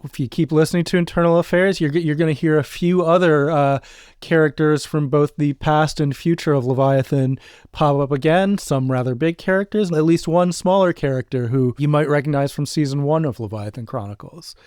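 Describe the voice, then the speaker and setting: A man with a fairly high, clean and smooth voice chats casually as a podcast co-host. He talks haltingly, repeating words as he explains, in a dry, close recording. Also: steady tone, a little mellow, no echo. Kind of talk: casual introductory chat about an audio drama.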